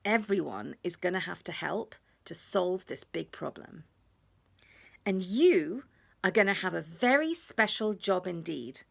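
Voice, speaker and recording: Woman reading as a soothing kids book mum, encouraging, lilting up and down, slightly creaky. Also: on the low side, animated, unhurried, full character voice. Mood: explaining. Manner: soothing, encouraging, explaining simply. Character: William's gentle, reassuring mummy in a children's storybook.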